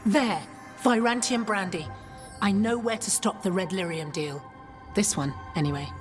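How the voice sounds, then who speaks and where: An actress playing an eager, cheeky young investigator in a video game, speaking in an earnest, animated way. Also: female voice, medium pitch, moderate pace, music in the background, natural voice. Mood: cheeky, pleased.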